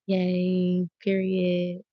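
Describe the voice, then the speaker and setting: A woman's voice: fairly low pitch, monotone, extremely slow, no room sound, highs cut off. A woman hosting a spiritual tarot livestream, chatting casually and slangily straight to her viewers. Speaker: tarot reader and livestream host.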